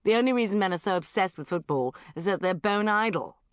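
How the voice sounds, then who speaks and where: A woman's voice: medium pitch, big pitch swings, normal speed, no room sound, studio quality, voice pushed up. Woman doing an indignant ranting girlfriend. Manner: emphatic, ranting. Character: indignant female friend, emphatic.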